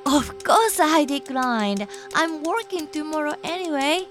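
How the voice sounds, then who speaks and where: High-voiced, bewildered fiancée. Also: female voice, measured pace, fairly bright tone, soft background music. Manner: bewildered, questioning. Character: confused fiancée woken by a phone call. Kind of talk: audio drama acting.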